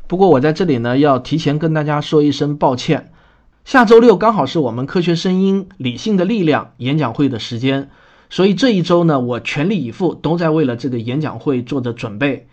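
A man's voice: fairly high pitch, animated, brisk, darkish tone. A man hosting a podcast, addressing listeners in an orderly, explanatory way as he presents scripted show notes. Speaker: podcast host and radio drama writer. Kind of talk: scripted spoken show commentary.

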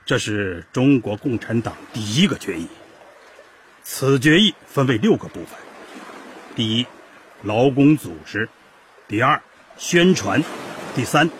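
A man's voice: medium pitch, a little hiss or hum. A man acting in a Chinese historical radio drama as an earnest, formal chairman of a secret revolutionary meeting.

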